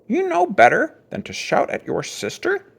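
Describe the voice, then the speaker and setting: A man's voice: very high-pitched, wildly swooping pitch, normal speed, vocal fry, breathy edge, pitched above the performer's own voice, exaggerated voice. A man voicing an argumentative, scolding father bear in a children's storybook, with an admonishing and contrary manner.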